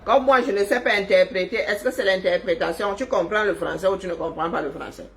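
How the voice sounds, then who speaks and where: A woman's voice: medium pitch, fairly quick. A woman giving mocking, scolding commentary straight into her phone or webcam on a livestream. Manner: sarcastic, scolding, teasing. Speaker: online commentator. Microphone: webcam or phone on a screen.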